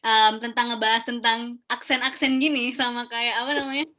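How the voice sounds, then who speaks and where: A woman's voice: a little high, little pitch movement, brisk, pushed and bright. A young woman hosting a student Q&A in casual chat, explaining things with lots of fillers over a video call. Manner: chatty, explaining with many fillers. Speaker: student event host. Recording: dull lo-fi video call audio.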